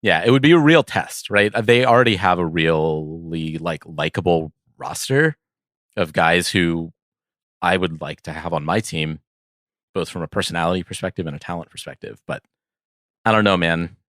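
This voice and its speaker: A man's voice: low voice, moderate pace, a bit bright, no echo, studio quality. A man co-hosting a podcast in casual sports chat, easygoing and conciliatory, hedging as he talks. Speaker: podcast co-host.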